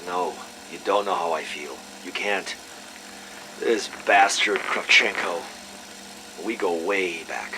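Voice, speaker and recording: An actor playing a weary, embittered and guarded military intelligence officer in an audio drama. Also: male voice, deep voice, slow pace, sharp tone, slight background noise, exaggerated voice, poor audio. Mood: bitter.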